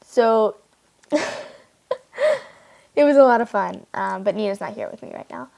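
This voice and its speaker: A woman's voice: mid-range voice, measured pace, gravelly, darkish tone. A young woman chatting casually to online viewers in a giggly, rambling, informal way. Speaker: amateur fan fiction writer and reader.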